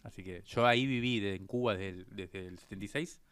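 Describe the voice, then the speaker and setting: Low, smooth-voiced Argentine man recalling. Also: fairly quick, a bit bright, dry recording. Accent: Argentine Spanish. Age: 50s. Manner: thoughtful, many hesitant fillers. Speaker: interview guest. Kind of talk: reflective personal storytelling.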